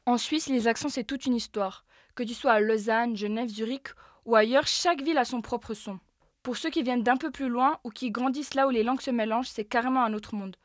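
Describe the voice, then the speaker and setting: A woman hosting and narrating a street-interview report, putting questions to passers-by in a brisk, lively manner. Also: on the high side, no echo, clean studio sound. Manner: brisk, lively presenter delivery. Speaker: host and interviewer.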